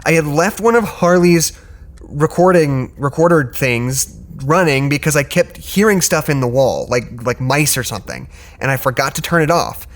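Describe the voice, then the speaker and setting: An actor playing a distracted, frustrated research doctor in an audio drama, speaking haltingly with restarts. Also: male voice, on the high side, expressive, close to an everyday voice. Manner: halting, stammering, restarts sentences. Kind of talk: naturalistic audio drama acting.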